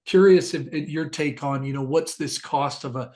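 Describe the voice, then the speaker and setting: A man hosting a business interview, friendly and affirming, quick with agreement. His voice sits a little above the typical male pitch. The talk is loose and conversational. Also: a little mellow. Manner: upbeat, affirming, quick to laugh. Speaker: interview host.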